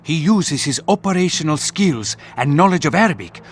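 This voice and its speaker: An actor playing an impatient, brash soldier in a wartime video game cutscene. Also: male voice, on the high side, expressive, normal speed. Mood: urgent questioning. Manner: brash, sarcastic, urgent. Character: hot-headed, impatient Italian soldier in wartime. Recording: music behind the voice.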